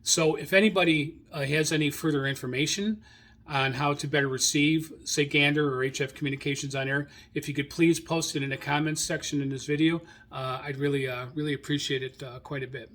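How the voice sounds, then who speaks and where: A middle-aged or older American man hosting an informal radio hobby monologue, rambling haltingly and repeating phrases. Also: fairly high pitch, steady tone, a bit bright. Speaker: radio hobby show host.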